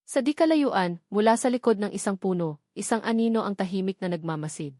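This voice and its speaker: A woman narrating a scripted love story as a storyteller, with a measured, earnest, sentimental delivery. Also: mid-range voice, animated, warm tone, dry recording, clean studio sound.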